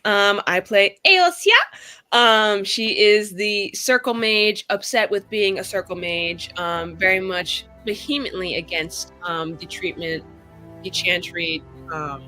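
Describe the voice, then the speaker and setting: Bright, forceful woman gamer. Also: mid-range voice. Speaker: tabletop game player. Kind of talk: casual character introduction.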